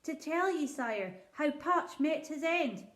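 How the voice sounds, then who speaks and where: A woman's voice: sits high, expressive, takes it slowly, fairly bright tone, voice pushed up, heavily acted. Woman doing a weepy old rustic shepherd. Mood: pleading. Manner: rustic dialect, pleading tone. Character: old male shepherd, rustic, grieving, earnest. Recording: roomy echo.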